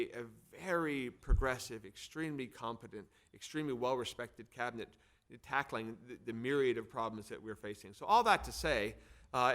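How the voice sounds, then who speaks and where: A man's voice: medium pitch, fairly bright tone. Emphatic middle-aged American man at a public address. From United States, Arizona. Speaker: think tank head hosting and introducing speakers. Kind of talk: semi-formal public address, partly off the cuff.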